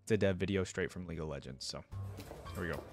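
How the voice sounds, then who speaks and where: A man's voice: low voice, fast, creaky, soft background music. A young adult man hosting a reaction video, reading aloud and commenting casually in an informal, think-aloud way into a close desk microphone. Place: indoor room at a streaming setup.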